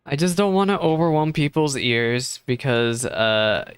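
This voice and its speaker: A man's voice: medium pitch, animated, measured pace, clean tone, dry recording. A man streaming a game reaction from a temporary home setup on a desk mic, chatty and self-deprecating. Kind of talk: casual reaction stream commentary. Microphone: desk microphone.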